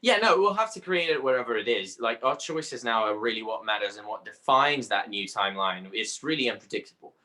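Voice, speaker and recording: A man with a Brazilian Portuguese accent, a native Portuguese speaker, talking as an astrologer guest in an interview and pausing often to search for words. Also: mid-range voice, expressive, pushed and bright. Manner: halting, searching for words with frequent pauses. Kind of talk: conversational interview.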